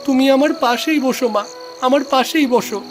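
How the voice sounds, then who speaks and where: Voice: high voice, normal speed, faint music behind, natural voice. An actor voicing a frightened, ailing little boy in a cartoon, plaintive, pleading and in distress. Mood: fearful, plaintive. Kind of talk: melodramatic cartoon dubbing.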